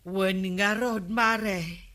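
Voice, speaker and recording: An overworked, grumbling village captain's wife in an Ilocano radio comedy drama. She speaks slowly with a bright, tense edge and an Ilocano accent, dragging out her words in exasperation. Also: mid-range voice, fairly even intonation. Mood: gossipy.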